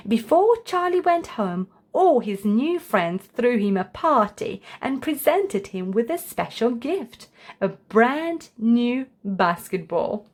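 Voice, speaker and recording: A woman's voice: a little high, big pitch swings, slow, darkish tone. A woman storyteller reading a children's book aloud as scripted narration.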